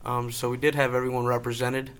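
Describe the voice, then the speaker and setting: Man, tentative panelist, steady level voice. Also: mid-range voice, fast talker. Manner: hedging, tentative. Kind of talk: casual panel discussion.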